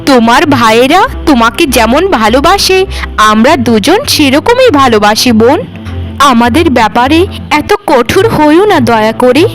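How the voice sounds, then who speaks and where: A woman's voice: sits high, animated, normal speed, faint music behind. A woman voicing a meek, long-suffering young married woman in a cartoon, speaking in a placating, pleading way. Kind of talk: Bengali cartoon voice acting.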